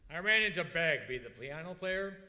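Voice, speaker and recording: Gossipy announcer sidekick, acted by a man, retro radio comedy. Bright, lively, teasing, echoey live hall. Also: a little high, moderate pace. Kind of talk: old-time radio comedy acting.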